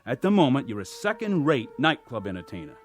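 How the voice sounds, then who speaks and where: An actor playing a blunt, skeptical, exasperated talent agent in a radio play. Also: male voice, mid-range voice, very animated, fairly quick, faint music behind, close to an everyday voice. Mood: reproachful.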